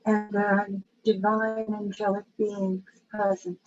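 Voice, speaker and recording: Female meditation guide, low-variation delivery, very slow and soothing, slightly dull recording with faint noise. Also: flat delivery, a little mellow, faint music behind.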